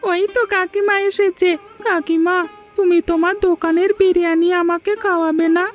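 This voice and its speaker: A sick little village boy in a cartoon, pleading plaintively. The voice is extremely high and childlike, with faint music underneath. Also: level tone, moderate pace. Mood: plaintive, eager. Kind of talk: Bengali cartoon dialogue.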